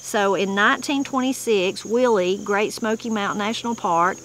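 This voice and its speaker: A woman's voice: mid-range voice, moderate pace, a bit bright, slight background noise. A middle-aged woman with a Southern Appalachian accent, casually explaining old mountain words outdoors in a wooded yard. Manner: homespun and plain-spoken, with frequent restarts. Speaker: channel host. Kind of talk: casual explanatory talk reading dictionary entries.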